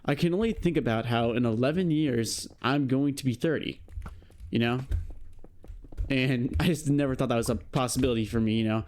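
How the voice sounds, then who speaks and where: A man's voice: medium pitch, moderate pace, darkish tone. A young man in his late teens talking reflectively to camera in a rambling vlog, full of fillers and "you know". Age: young adult. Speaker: vlogger. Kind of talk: casual monologue to camera.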